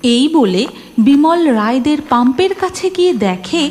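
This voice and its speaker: A woman's voice: sits high, very animated, unhurried, background noise. A woman narrating a scripted cartoon story expressively, with music behind her.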